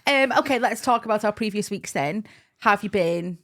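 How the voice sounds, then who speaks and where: A woman's voice: medium pitch, animated, brisk, fairly bright tone. A young Scottish woman co-hosting a casual couple's podcast, chatty and teasing with frequent giggles. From Scotland. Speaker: podcast co-host.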